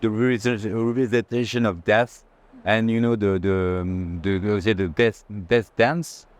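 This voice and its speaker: Clear-voiced Frenchman, halting and informal. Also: on the low side, fairly even intonation. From France. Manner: halting, informal, searching for words. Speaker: art institution director in conversation. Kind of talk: casual conversation on an art fair tour. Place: art fair hall, walking tour.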